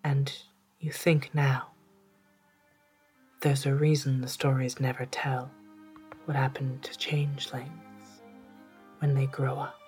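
A storyteller of ambiguous gender narrating a literary tale in a soft, hushed, breathy voice, with measured and deliberate phrasing. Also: medium pitch, flat delivery, soft background music. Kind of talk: scripted literary narration in second person, storytelling.

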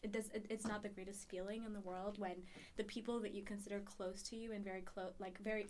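A woman's voice: mid-range voice, level tone, fast talker, a little mellow, a little hiss or hum. A woman in her early twenties from Ethiopia, reflecting conversationally on her own life as a podcast guest. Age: early 20s. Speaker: podcast guest, university student.

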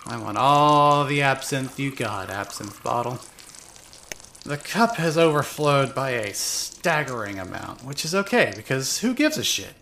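Woman acting a tipsy, swearing young woman, very animated. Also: extremely low pitch, measured pace, creaky, a little hiss or hum, full character voice. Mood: bitter, reckless. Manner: rambling, drunken hesitations, sarcastic swearing. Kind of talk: first-person dramatic narration of a fan audio drama.

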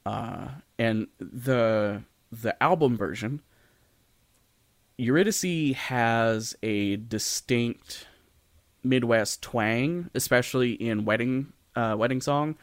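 A man's voice: medium pitch, takes it slowly, no room sound, studio quality. A man co-hosting a podcast, talking informally and thinking aloud in a casual discussion. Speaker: podcast co-host. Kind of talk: casual discussion of storytelling in audio and stage.

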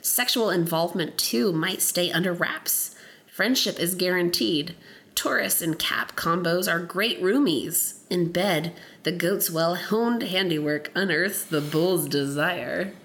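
Brief: woman co-host, low voice deepened by a cold, giggly, trips on words while reading aloud. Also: slow pace, fairly bright tone. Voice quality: deep voice from a cold. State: has a cold. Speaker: podcast co-host. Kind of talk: casual chat, reading aloud from a book.

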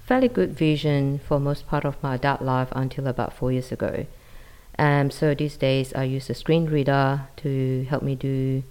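A woman from Malaysia answering interview questions, hesitant with frequent pauses. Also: deep voice, darkish tone. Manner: hesitant, many ums. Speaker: interview guest.

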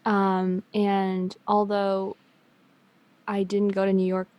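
A woman's voice: mid-range voice, monotone, takes it slowly, lo-fi sound. A young woman from China raised in Canada, with a Canadian accent tinged slightly Chinese, chatting casually with lots of ums and restarts. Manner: chatty, laughs easily, many ums and restarts. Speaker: guest. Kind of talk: casual chat.

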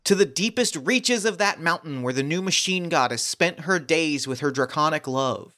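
A performer playing a grand storyteller, narrating a fantasy audio drama in a formal, measured way. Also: medium pitch, animated, fairly bright tone, slight background noise, natural voice.

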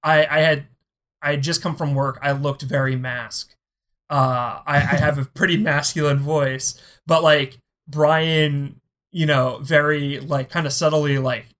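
Chatty guest with a fairly high voice. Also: male voice, fairly bright tone. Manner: chatty, lots of "like" fillers. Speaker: podcast guest. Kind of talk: casual conversation.

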